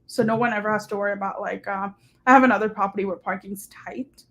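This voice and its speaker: A woman's voice: mid-range voice, fairly even intonation, quick pace, a bit bright. A young adult woman, a guest on a live-streamed interview, talking casually and upbeat with many "like" and "um" fillers. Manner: chatty, upbeat, lots of "like" and "um" fillers. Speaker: guest, short-term rental host and hotel manager.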